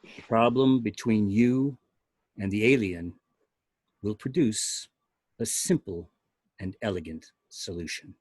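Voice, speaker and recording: A man playing a dying android science officer, cold and calm, speaking in slow, broken phrases at a table read. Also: fairly low pitch, dry recording. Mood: cold, measured. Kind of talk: amateur screenplay table read.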